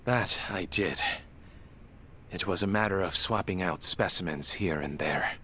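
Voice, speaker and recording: A man's voice: low-pitched, pushed and bright, slight background noise. An actor playing a formal, guarded male medical examiner in a video game drama, choosing his words with care. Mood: composed, formal. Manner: formal, measured diction, falters when pressed. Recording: music behind the voice.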